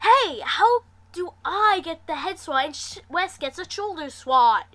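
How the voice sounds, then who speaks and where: Woman putting on a whiny, flustered brother, high-pitched. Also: lively intonation, measured pace, pushed and bright, exaggerated voice. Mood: whiny complaint. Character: complaining, easily flustered brother in a friend group.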